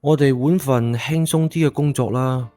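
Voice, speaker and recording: A woman voicing a slow-witted, laid-back young man with a flat, dim manner. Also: a bass voice, soft background music, voice pushed down. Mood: sluggish.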